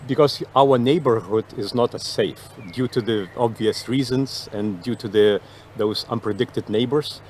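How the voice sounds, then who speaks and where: A man with a non-native English accent explaining things in an interview as a military officer, halting and repeating words. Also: mid-range voice, darkish tone, a little hiss or hum. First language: not English.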